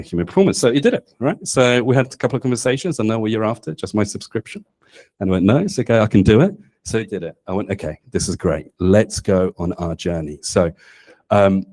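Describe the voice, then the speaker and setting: A man's voice: sits low, darkish tone. A man in his forties giving a casual, chatty presentation to an audience in a meeting room, speaking into a handheld microphone and often checking in with "right?". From not Australia. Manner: casual and chatty, with many "um"s and tag questions ("right?"). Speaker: presenter at a community meetup.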